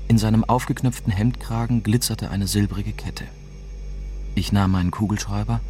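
An actor playing a young German correspondent, narrating soberly in the first person for a radio drama. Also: male voice, on the low side, a little mellow, close to an everyday voice. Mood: grim, observant. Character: young German newspaper correspondent narrating his dangerous investigation.

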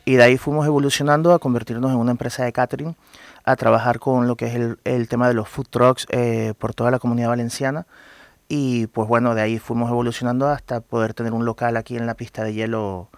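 A man's voice: mid-range voice, flat delivery, quick pace, dry recording. A man, a guest entrepreneur in a radio interview, explaining earnestly with frequent fillers. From Venezuela.

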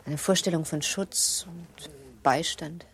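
An actress playing an uneasy young wife of the nineteenth century in a German radio play, speaking in a hushed, pensive, formal way. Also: female voice, on the low side, fairly even intonation, slow, a little hiss or hum. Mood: wistful.

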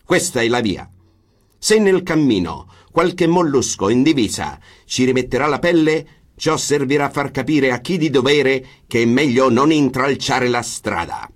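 An actor in a radio stage reading, voicing a militant who reads out an armed group's manifesto in a stern, declamatory way. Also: male voice, medium pitch, lively intonation, normal speed, close to an everyday voice.

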